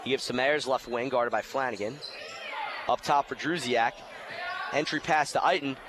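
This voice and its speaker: A man giving live basketball play-by-play as the announcer, rising to a shout on big plays. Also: mid-range voice, fairly even intonation, moderate pace. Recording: noisy gym with court sounds. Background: basketball bounces and gym noise.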